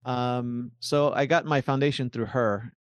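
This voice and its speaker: A man's voice: mid-range voice, steady tone, unhurried, no echo. A man born in Argentina and raised in Miami, with an American accent, answering interview questions casually and with many restarts. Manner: rambling, many restarts and likes. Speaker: composer, guest.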